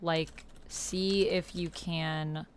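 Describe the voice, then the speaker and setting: A woman's voice: low voice, level tone, drawn-out words, a little hiss or hum. A woman hosting a livestream, chatting informally, thinking aloud and reading on-screen text. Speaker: livestream host and player.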